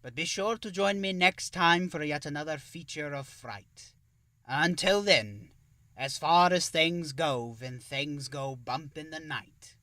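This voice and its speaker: A man's voice: a little high, slow pace, sharp tone, no room sound, distant mic. A man playing a genial, self-important thousand-year-old vampire who hosts an interview show in a theatrical, effusive manner. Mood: grand, grateful. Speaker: show host. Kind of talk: in-character interview hosting.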